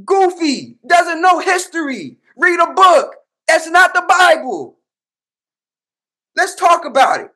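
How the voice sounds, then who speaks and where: A high-voiced American man hosting a reaction rant. He talks in a casual, slangy style and drives his points home with combative emphasis and repetition. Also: big pitch swings, slow pace, sharp tone. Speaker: host, babalawo commentator.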